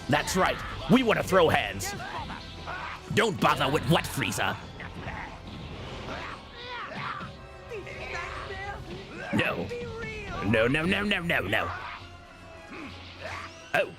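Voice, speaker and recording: A man's voice: a little high, big pitch swings, full character voice. Man doing a theatrical, wildly swooping gloating alien villain. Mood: scornful, menacing. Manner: taunting, theatrical, drawn-out, cackling. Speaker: player voicing a fighting game character. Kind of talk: in-character live game commentary and trash talk. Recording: game music loud behind the voice.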